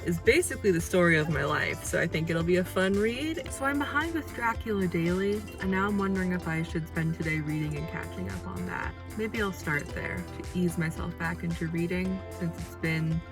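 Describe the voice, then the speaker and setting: A woman's voice: on the low side, a little fast, few pauses, music in the background. A woman chatting casually and enthusiastically in a bookish, rambling reading vlog. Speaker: book vlogger and author. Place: on a road trip, in car and hotel rooms.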